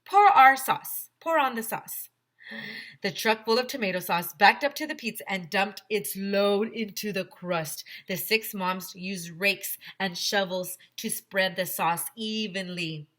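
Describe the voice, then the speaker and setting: A woman teacher reading a children's story aloud in an expressive, simple storytelling manner. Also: mid-range voice, measured pace, hardly any pauses.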